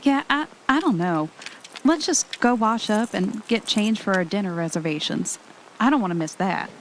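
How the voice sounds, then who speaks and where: A woman's voice: medium pitch, expressive, moderate pace, lightly acted, low-quality recording. A woman acting an affectionate, easily unnerved young wife in an amateur audio drama, speaking casually and conversationally. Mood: tired. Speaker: actor in an amateur radio drama. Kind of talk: naturalistic audio drama dialogue. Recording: noticeable background noise, amateur recording.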